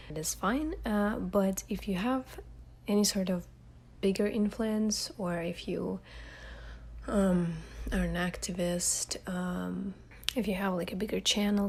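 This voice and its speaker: Soft-spoken Russian woman with a cold. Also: medium pitch. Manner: soft-spoken and hesitant, often slipping into a breathy whisper. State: has a cold. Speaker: ASMR channel host. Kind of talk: casual podcast monologue.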